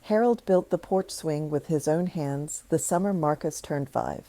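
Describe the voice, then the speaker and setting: A woman reading a scripted first-person story in a measured, reflective way. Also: deep voice, dark tone, no echo.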